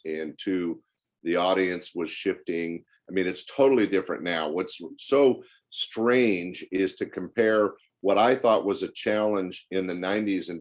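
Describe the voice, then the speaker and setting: Older guy w/ deep low voice, chatty podcast guest telling stories from his club days. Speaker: podcast guest, former comedy club owner. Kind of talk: conversational interview storytelling.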